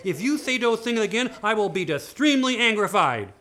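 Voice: medium pitch, big pitch swings, fairly quick, a bit bright, slight background noise, voice pushed up. A storyteller voicing a folksy, easily exasperated storybook mother in a sing-song, scolding way.

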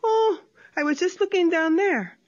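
A woman's voice: very high voice, expressive, measured pace, pitched above the performer's own voice. A woman voicing a sly, cheerful male opossum trickster who sweet-talks in Spanish and English. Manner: sweet-talking, chirpy, switches Spanish and English. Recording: dull lo-fi recording.

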